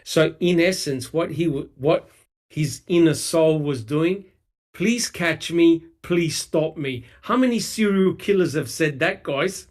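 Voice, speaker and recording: His voice sits a little high for a man, and he talks heatedly and emphatically. He swears freely and acts out mocking quotes as he argues his point in a casual discussion. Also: slow pace. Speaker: podcast co-host. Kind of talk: casual true-crime discussion.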